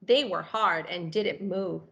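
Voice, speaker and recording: A woman reading a picture book aloud to children, animated and expressive, with questions for young listeners. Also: lowish voice, slow pace, creaky. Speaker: story reader for children.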